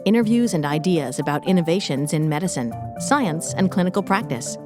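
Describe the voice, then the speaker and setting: Casting: female intro announcer, lowish register, scripted read over a clear music bed. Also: lively intonation, a little fast.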